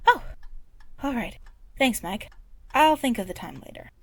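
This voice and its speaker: A woman's voice: a little high, sing-song, measured pace, creaky, no room sound, heavily acted. A woman playing a friendly, slightly shy coworker in an audio drama.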